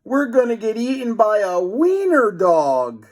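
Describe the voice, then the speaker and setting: Storybook role: panicky little schoolboy, played by a man, high-pitched, wailing, big pitch swings. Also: wildly swooping pitch, unhurried, exaggerated voice. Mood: alarmed. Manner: exclaiming, wailing. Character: panicky cartoon schoolboy, shrill and excitable.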